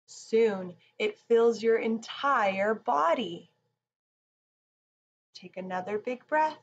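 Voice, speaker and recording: Slow-paced woman reading to children. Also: on the high side, expressive, muffled recording, a little hiss or hum. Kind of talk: children's storybook read-aloud and guided relaxation.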